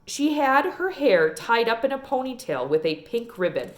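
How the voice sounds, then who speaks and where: Animated woman reading a storybook. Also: a little high, normal speed. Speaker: read-aloud reader. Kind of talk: expressive storybook narration.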